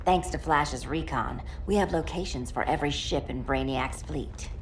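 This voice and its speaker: Woman voicing a low-voiced hero giving a crisp briefing, faint noise behind. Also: normal speed, close to an everyday voice, poor audio.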